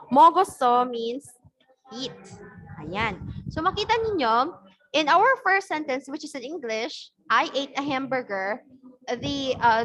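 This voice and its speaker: Filipino teacher, woman, high voice, patient step-by-step lecturing on a video call, keeps asking "okay?". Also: fairly bright tone, poor audio. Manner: explains patiently, often checks with "okay?". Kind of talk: online class lecture.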